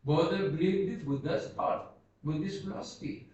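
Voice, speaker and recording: A man whose first language is Bhoti gives an unscripted seminar talk, halting and searching for words as he speaks slowly and earnestly. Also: high voice, a little mellow. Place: seminar hall. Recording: echoey hall, distant dull mic.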